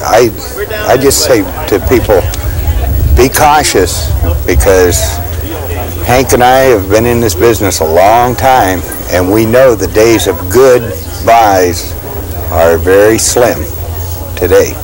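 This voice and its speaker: Middle-aged male dealer, unhurried advice-giver, outdoors with clear crowd noise. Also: on the low side, rough recording. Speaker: radio dealer. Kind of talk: interview, giving advice. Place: outdoors at a busy swap meet.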